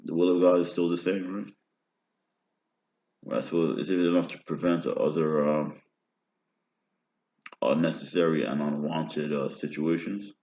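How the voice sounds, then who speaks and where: Very deep-voiced man rambling, roomy and dull. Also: steady tone, measured pace, long pauses, dark tone. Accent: American. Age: 30s. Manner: meandering, often ends phrases with "right?". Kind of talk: rambling personal monologue.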